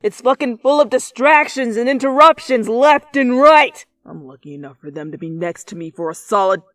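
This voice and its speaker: A woman voicing a hot-tempered, gruff young man who growls and swears in frustration, in an anime fan fiction reading. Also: fairly high pitch, expressive, normal speed, no echo, close to an everyday voice. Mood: furious, exasperated.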